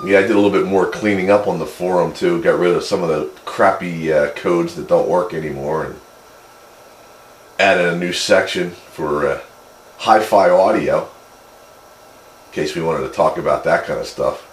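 A man's voice: low voice, moderate pace. A man chatting casually over two-way radio as a hobbyist, explaining technical things in a rambling way. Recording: roomy, noisy CB radio speaker pickup.

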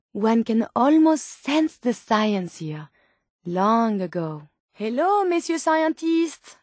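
A high, dryly recorded voice of an earnest female robot scientist for a video game. She is endlessly curious and polite, choosing precise, bookish words with naive sincerity. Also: no echo, clean studio sound, heavily acted. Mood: fascinated, excited. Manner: precise, formal, bookish phrasing. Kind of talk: video game companion dialogue.

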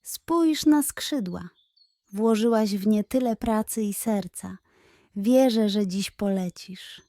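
A woman's voice: mid-range voice, takes it slowly, dark tone. A woman voicing a kindly female seagull, a patient and encouraging mentor in a children's bedtime story. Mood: gently encouraging.

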